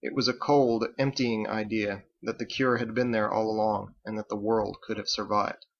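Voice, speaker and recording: Gravelly man reading a story aloud. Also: lowish voice, little pitch movement, normal speed, lo-fi sound. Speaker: author reading own book. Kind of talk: scripted audiobook narration.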